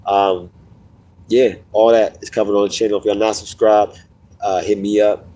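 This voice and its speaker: A man hosts a casual livestream and speaks straight to his viewers. His voice is warm and mellow, and he is a little stuffy from a cold. He tells stories in loops, repeating and restarting phrases as he goes. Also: on the low side, level tone, normal speed, rough recording. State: getting over a cold, sniffling. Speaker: podcast host.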